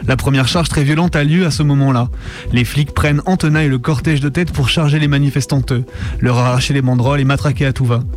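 A man's voice: mid-range voice, steady tone, fast talker, faint music behind. A man hosting a radio show, informal and self-deprecating, moving between chat and reading aloud. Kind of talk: casual radio presenting and reading a statement aloud. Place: radio studio.

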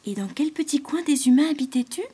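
A woman voicing a vain, chirpy female beetle who gushes in a raised, excited voice. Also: a little fast. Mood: curious. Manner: high, excited and gushing.

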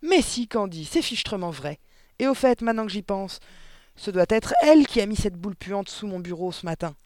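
A monstrous middle-aged storybook headmistress, played by a man, bullying and full of spite. The voice is pushed high and barks out each phrase with emphatic scorn. The sound is close and dry. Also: animated, moderate pace, darkish tone, dry recording. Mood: scornful, spiteful.

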